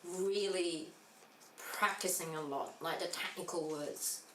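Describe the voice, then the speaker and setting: A woman with a lowish voice tells an animated story in a relaxed chat. She sits a little back from the mic. The room rings with echo. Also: takes it slowly, slight background noise. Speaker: entrepreneur guest. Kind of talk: casual chat. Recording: echoey room, mic at a distance.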